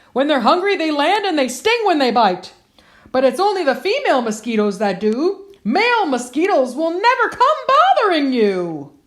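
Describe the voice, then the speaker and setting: A woman reading a children's picture book aloud in a theatrical, emphatic, playful way. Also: high-pitched.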